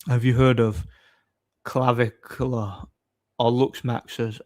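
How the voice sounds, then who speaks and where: A man hosting a livestream, chatting casually and off the cuff in a blunt, opinionated, somewhat halting way. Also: mid-range voice, level tone. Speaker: livestream host. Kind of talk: casual off-the-cuff chat, answering viewer comments.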